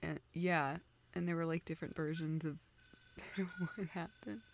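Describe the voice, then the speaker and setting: Woman acting young woman friend in an audio roleplay, lowish voice, slow and halting, kinda teary n self-deprecating, bit of background noise. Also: fairly even intonation, poor audio. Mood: wistful, rambling. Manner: halting, rambling, soft laughs and whispered asides.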